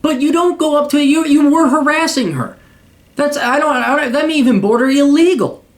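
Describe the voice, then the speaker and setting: A man's voice: very high-pitched, expressive, a little fast. Blunt profane man lecturing. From United States. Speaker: podcast host giving paid advice. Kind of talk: blunt advice rant.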